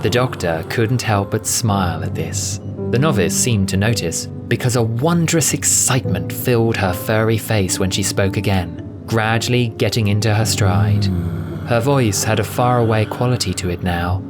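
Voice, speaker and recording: Guy reading a story, lowish voice, audio drama narration with music behind. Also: normal speed. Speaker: narrator, voice actor. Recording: music bed under voice.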